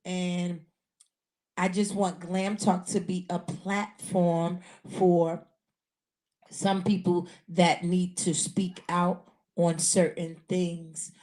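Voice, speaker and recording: Raspy woman hosting a slow podcast. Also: lowish voice, a little mellow. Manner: repetitive, earnest, many restarts. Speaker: podcast host. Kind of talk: casual live podcast talk. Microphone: handheld mic.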